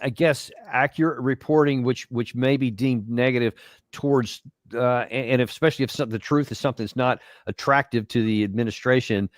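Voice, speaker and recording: A male host asks a long question in a mid-pitched voice, circling back and restarting his phrases. The sound is close and dry, with no room echo. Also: brisk. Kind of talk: interview questions.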